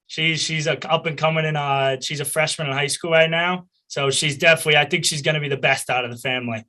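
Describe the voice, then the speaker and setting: Young guy, Boston accent, easygoing interviewee, bright voice. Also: fairly high pitch, steady tone, fast talker. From Boston area. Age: young adult. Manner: laid-back, rambling, with many likes and laughs. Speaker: college lacrosse player, guest. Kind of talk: casual interview answers.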